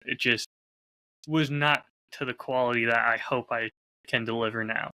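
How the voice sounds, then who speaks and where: A man's voice: medium pitch, steady tone, normal speed, sharp tone, low-quality recording. A man chatting casually and candidly as a podcast host, openly self-critical about his creative work. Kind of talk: casual chat.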